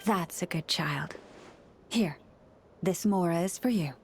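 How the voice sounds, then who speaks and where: A woman playing a gentle, courteous young library caretaker in a video game, speaking formally and patiently in a soft manner. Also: lowish voice, slow, breathy edge. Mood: gently stern. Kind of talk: video game cutscene acting. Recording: music under the voice.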